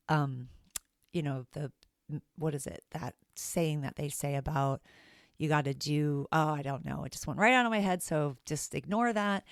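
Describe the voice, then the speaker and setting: A woman with a raspy voice hosting a casual solo podcast for teachers, chatty and self-deprecating. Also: low voice, no echo, clean studio sound.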